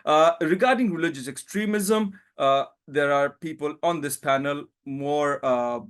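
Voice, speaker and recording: A man from Bangladesh, giving a conference presentation over a video call in a thoughtful way, often pausing with fillers. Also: on the high side, smooth. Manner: thoughtful, hedging with frequent fillers. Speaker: panel speaker, academic.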